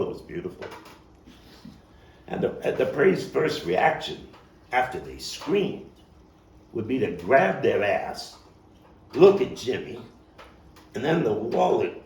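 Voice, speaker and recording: A man performing a theatrical monologue as a coarse, wry, streetwise Brooklyn man looking back on his boyhood gang, telling it with dramatic pauses and emphatic swells. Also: fairly low pitch, creaky, darkish tone, full character voice. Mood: relishing, excited. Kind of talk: theatrical monologue, table read. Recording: roomy, distant mic, faint noise.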